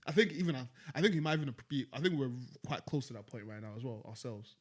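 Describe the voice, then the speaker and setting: A man's voice: mid-range voice, lively intonation, fast. A man, a podcast co-host in casual chat, blunt and sweary as he hands out advice. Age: 20s.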